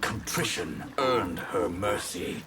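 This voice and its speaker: A haughty mage with a fairly low voice and slow, precise phrasing. He sounds cool and superior, a video game figure with crowd noise behind him. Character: haughty, wily male water mage. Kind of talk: video game fight dialogue.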